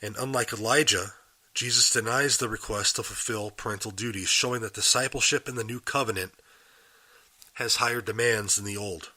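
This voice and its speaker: Man, Bible podcast host, raspy and husky from a cold, bright forward tone, earnest questioning delivery. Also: on the low side, normal speed. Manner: earnest, asks the listener questions. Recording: live and unedited.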